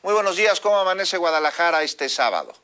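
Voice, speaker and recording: Male Mexican morning radio host, lively and chatty, bright forceful voice. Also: high voice, fast, clear, resonant tone. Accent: Mexican Spanish. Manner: lively, chatty, reads listener messages. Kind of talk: morning news radio hosting.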